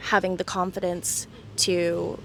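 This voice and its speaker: A woman's voice: on the low side, flat delivery, measured pace, creaky, a little hiss or hum, poor audio. Thoughtful woman, conversational. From United States. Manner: thoughtful, many "like" and "um" fillers. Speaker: interview guest. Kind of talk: casual reflective conversation.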